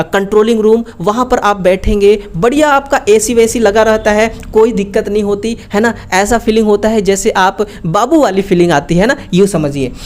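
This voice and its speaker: A woman's voice: mid-range voice, darkish tone. A woman giving an informal, explanatory online lesson as an exam-prep teacher, talking colloquially with frequent check-in tags.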